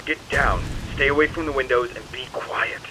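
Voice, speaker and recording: A man's voice: mid-range voice, normal speed, background noise, lightly acted. An actor playing an urgent, commanding man in an audio drama, calling out orders and questions. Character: Grant, urgent commanding adult man calling over radio.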